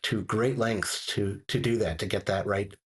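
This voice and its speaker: A man's voice: fairly low pitch, fairly even intonation, normal speed. A man talking casually and enthusiastically as a guest reviewer on a discussion show, often checking in with his listeners. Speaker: podcast guest reviewing audio drama.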